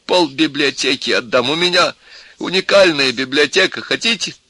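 A man's voice: high voice, big pitch swings, takes it slowly, creaky, sharp tone, slightly rough, no room sound, pitched above the performer's own voice, heavily acted. A man voicing a tipsy, desperate professor in a story, wailing and pleading melodramatically, close to hysterics. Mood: grief-stricken pleading.

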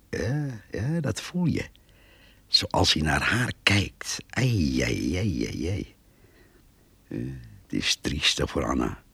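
An actor playing a blunt, stubborn village farmhand in a radio drama, husky and earthy in his way of talking. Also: a bass voice, no echo, full character voice. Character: blunt, stubborn, nosy Dutch village farmhand, plain-spoken. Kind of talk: naturalistic radio drama acting.

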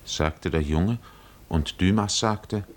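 A man as the solemn, poetic narrator of a 1950s German radio drama, measured and dramatic. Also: low-pitched, slight background noise. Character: solemn poetic storyteller of a sea voyage.

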